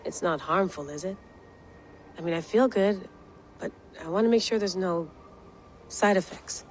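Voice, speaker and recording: An actress playing a troubled, guarded field operative in a video game, earnest and uneasy, speaking hesitantly. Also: female voice, lowish voice, moderate pace, slight background noise, close to an everyday voice. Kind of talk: naturalistic video game acting. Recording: music playing behind the voice.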